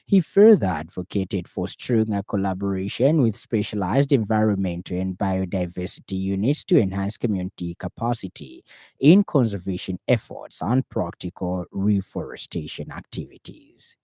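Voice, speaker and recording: A man's voice: deep voice, animated, moderate pace, mellow, dry recording. A man with a Zambian accent reading a scripted news bulletin on the radio from a studio.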